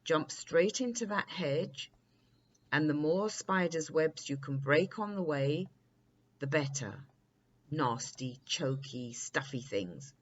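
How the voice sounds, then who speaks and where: A woman voicing a knowing, brisk daddy long legs creature in a storybook, instructing in a matter-of-fact way. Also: sits low, lively intonation, lo-fi sound, a little hiss or hum. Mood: brisk, amused.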